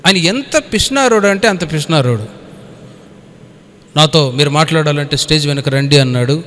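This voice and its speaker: A man's voice: a little high, expressive, moderate pace, fairly bright tone. A man preaching a Bible study as a pastor, exhorting in colloquial speech with rhetorical questions and some English words mixed in. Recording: echoey hall with long reverb.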